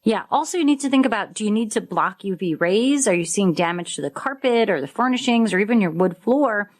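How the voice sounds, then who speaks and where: A woman co-hosting a radio advice show, presenting semi-scripted tips in a clear, instructive way. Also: mid-range voice, lively intonation, a little fast. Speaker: co-host of a home improvement radio show. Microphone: studio microphone.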